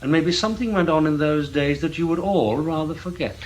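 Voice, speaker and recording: A man acting in a radio drama as a guarded, stubborn intelligence investigator, speaking in a measured, blunt way. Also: a little high, natural voice, low-quality recording. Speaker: lead actor and first-person narrator.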